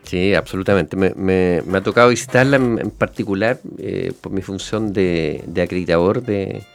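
A man with a Chilean accent hosting a conversational interview, haltingly repeating words and checking in with short tags. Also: low voice, creaky, faint music behind. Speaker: interview show host, a doctor.

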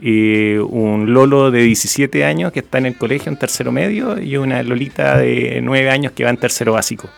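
A middle-aged man from southern Chile with a Chilean accent speaks as a guest in a radio interview. His voice is medium in pitch with plenty of creak, and his delivery is conversational and hesitant. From Puerto Varas, southern Chile. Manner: hesitant, halting. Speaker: interview guest, regional government official.

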